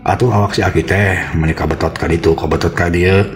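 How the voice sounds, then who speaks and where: A man with a Sundanese accent telling a folk tale as an expressive, unhurried storyteller. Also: low voice, faint music behind. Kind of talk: dramatic folk tale narration.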